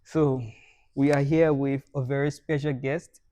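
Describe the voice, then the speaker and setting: Young man hosting a podcast, mellow and dry. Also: medium pitch, little pitch movement, a little slow, dry recording. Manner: warm, laughs often, repeats words when starting a sentence. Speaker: podcast host. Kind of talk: casual podcast interview.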